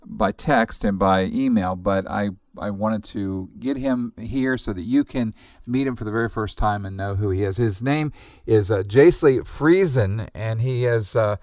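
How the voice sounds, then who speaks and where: A man's voice: lowish voice, measured pace, hardly any pauses, darkish tone, dry recording, studio quality. A middle-aged man hosting a morning radio show, chatty and joking, with many fillers. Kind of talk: casual radio interview. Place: radio studio.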